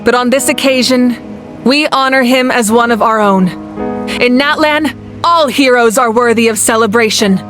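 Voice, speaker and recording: A stately female leader, acted by a woman, composed and authoritative in a slightly high voice. The speech is formal and measured, a game cutscene with music underneath. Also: natural voice. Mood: solemn, honoring. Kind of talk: video game cutscene acting.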